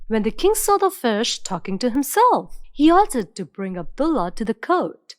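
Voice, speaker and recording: A woman narrating an animated fairy tale in an expressive, storybook way. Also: a little high, moderate pace, dry recording, studio quality.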